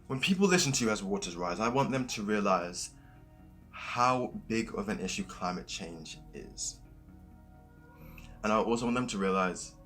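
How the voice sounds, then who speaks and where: A man's voice: low voice, a bit bright. A young man talking informally about acting, often repeating and rephrasing himself. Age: young adult. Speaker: youth theatre actor. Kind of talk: informal interview-style reflection. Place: at home.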